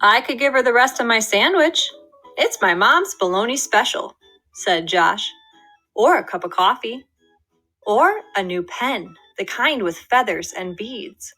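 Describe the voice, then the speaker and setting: Bright woman reading a storybook. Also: fairly high pitch, a little slow, faint music behind, poor audio. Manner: lively, expressive storytelling with voiced character lines. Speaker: storybook reader. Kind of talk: children's read-aloud narration.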